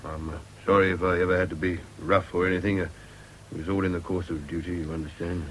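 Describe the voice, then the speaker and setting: Low-voiced, plain, kindly prison warder. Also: male voice, level tone, a bit bright, a little hiss or hum. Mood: awkward, kindly. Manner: hesitant, homely, slow to follow. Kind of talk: radio drama acting.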